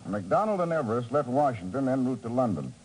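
A man's voice: medium pitch, sing-song, a little fast, a little mellow, slightly rough. An actor playing an urgent, skeptical embassy intelligence man in a 1950s radio drama. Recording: noisy vintage 1950s radio broadcast.

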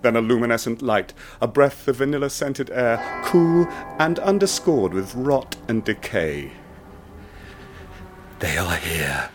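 A man narrating a first-person horror radio play, hushed and intense. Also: medium pitch, sing-song, a little slow, music in the background. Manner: hushed and intense, rising to ecstatic. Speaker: narrator and protagonist.

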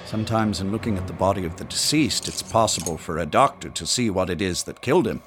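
A man playing a sober, matter-of-fact colonial doctor in a period radio drama, with a measured, explanatory delivery. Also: low voice, over music, close to an everyday voice. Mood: intent. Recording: clear ambient noise behind.

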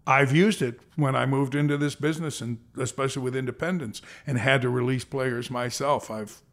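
A man's voice: medium pitch, fairly even intonation, a little fast. A man in his sixties, an interview guest telling rambling, self-correcting anecdotes about his childhood and career. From American Southwest. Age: 60s. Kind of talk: reflective storytelling.

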